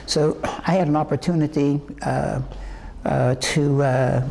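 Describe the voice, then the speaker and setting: Man, 80s, from West Virginia, slightly high voice, rambling interview storyteller. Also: fairly even intonation, vocal fry, a little mellow, rough recording. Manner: halting, rambling storyteller with many fillers and restarts. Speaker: singer interviewed about his past. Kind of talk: reminiscing interview answers.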